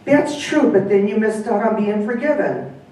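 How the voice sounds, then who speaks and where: A woman's voice: medium pitch, sing-song, moderate pace, dark tone, pitched above the performer's own voice, close to an everyday voice. Woman voicing a weary widower, rueful, conceding, far from mic, echoey. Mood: reflective. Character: rueful middle-aged widower, gruff, regretful.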